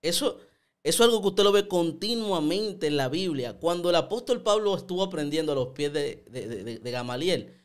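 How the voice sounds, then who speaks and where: Animated young man commenting. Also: on the high side, brisk, clear, resonant tone. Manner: animated, gestures a lot, frequent repeated words. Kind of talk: casual opinion commentary. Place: small indoor studio. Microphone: studio mic on stand, headphones.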